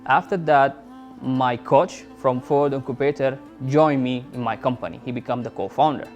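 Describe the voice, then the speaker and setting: A man's voice: mid-range voice, normal speed, faint music behind. A man, a startup founder, telling his story in an interview in an earnest way and searching for words.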